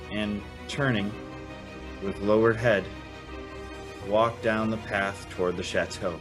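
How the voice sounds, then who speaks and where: An actor playing an earnest, wistful young man in a radio drama, measured and reflective with halting phrases. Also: on the low side, natural voice. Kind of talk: radio drama acting and narration.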